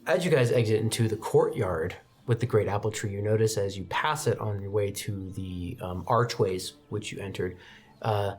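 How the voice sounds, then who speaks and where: A woman's voice: extremely low pitch, moderate pace, a little mellow. A woman narrating a tabletop roleplaying game as the game master.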